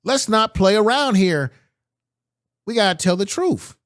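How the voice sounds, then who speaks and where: A man's voice: sits high, animated, unhurried, fairly bright tone, dry recording, clean studio sound. A man hosting political commentary, reacting bluntly and fierily to clips he plays, with slangy emphatic phrasing.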